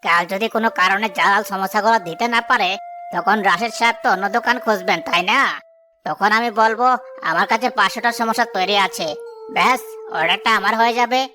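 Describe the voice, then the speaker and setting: A woman's voice: medium pitch, a little fast, close to an everyday voice. A woman voicing a jealous, scheming and stubborn village samosa seller, indignant and boastful, in a moral-story cartoon. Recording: clear music behind the voice.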